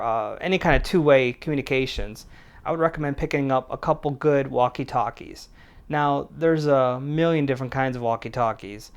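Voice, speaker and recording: A man's voice: medium pitch, clear, resonant tone. A middle-aged man hosting a casual product review, talking in a plain-spoken, conversational way. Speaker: prepper channel host and product reviewer.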